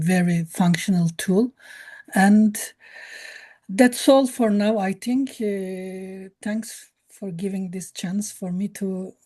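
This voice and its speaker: Middle aged woman trainer, low soft-toned voice, really slow, non-native english, searching for words. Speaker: martial arts trainer, youth NGO worker. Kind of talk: informal webinar presentation.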